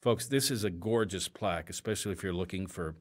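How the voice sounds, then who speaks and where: A man's voice: low-pitched, a little fast. A man hosting an audio drama podcast, reading announcements with casual, conversational asides. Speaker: podcast host.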